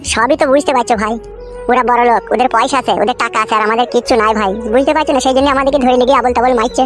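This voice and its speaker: A man performs a pitiful young male cartoon character, high in pitch and plaintive. He pleads rapidly, like a gullible lad in trouble, over faint music. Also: fairly even intonation, fairly bright tone. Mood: pleading, fearful. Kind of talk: amateur comedy cartoon dub.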